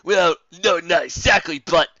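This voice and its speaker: A woman voicing a boastful, bullying male griffin commander in a fantasy story, booming, pompous and mocking. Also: measured pace, fairly bright tone, no room sound, highs cut off. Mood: hesitant.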